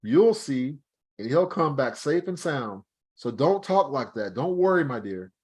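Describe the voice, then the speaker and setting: A man's voice: on the high side, normal speed, a little mellow, voice pushed up, close to an everyday voice. A man voicing a reassuring old father giving blessings.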